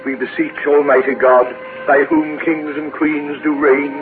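An actor playing a grave, courteous archbishop in 1930s radio drama style, measured and solemn. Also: male voice, on the high side, flat delivery, over music, lightly acted. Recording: scratchy, tinny 1930s radio recording with hiss.

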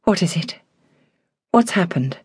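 A woman voicing an anxious, dutiful squire's daughter in an audiobook, brisk, worried and well-spoken. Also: mid-range voice, animated, no echo, clean studio sound, pitched above the performer's own voice, close to an everyday voice. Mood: gently concerned. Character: anxious, dutiful young gentlewoman, squire's daughter, taking charge.

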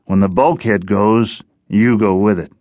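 A man voicing a huge, gruff, menacing seafaring outcast in an audiobook, giving unhurried orders. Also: lowish voice, fairly even intonation, a little mellow, no echo, muffled recording. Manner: gruff, nautical, unhurried commands. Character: giant bloated gruff male menacing underwater outcast. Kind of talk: audiobook character voice.

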